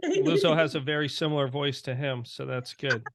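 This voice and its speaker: A man's voice: medium pitch, wildly swooping pitch, normal speed, no echo. A man co-hosting a podcast over a video call, joking and teasing in casual chat. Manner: joking, teasing, loud bursts. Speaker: podcast co-host.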